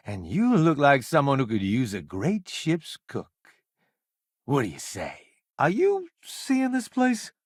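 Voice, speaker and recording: A man's voice: a little high, no room sound, clean studio sound, heavily acted. A man playing a vain, flamboyant food critic turned ship's cook in a video game, with a wry, theatrical delivery. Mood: breezy, wry. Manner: theatrical, wry, self-promoting, drawn-out emphatic delivery. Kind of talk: video game companion voice acting.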